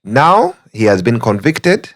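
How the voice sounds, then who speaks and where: A man's voice: medium pitch, takes it slowly. A man hosting a podcast, chatting casually and joking, then reading out a listener's letter. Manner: relaxed, jokey, laughs easily. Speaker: podcast host.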